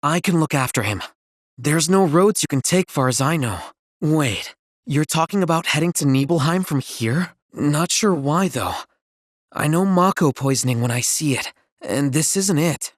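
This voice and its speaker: An actress playing a brisk, practical village woman in a video game, frank and watchful. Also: sits low, expressive, no echo, clean studio sound. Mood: matter-of-fact.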